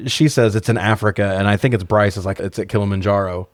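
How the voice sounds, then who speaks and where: A man's voice: fairly low pitch, steady tone, fast talker, no echo, studio quality. A man co-hosting a podcast, giving a casual, sardonic film recap with plenty of swearing. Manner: sardonic, profane. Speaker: podcast co-host.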